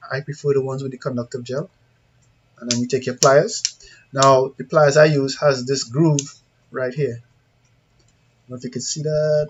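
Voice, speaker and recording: A man with a Trinidadian accent giving an informal hands-on tutorial, talking through each step in a plain-spoken, conversational way and checking in with viewers. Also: a little high. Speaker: CCTV installer giving a tutorial. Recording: dull lo-fi sound with background noise.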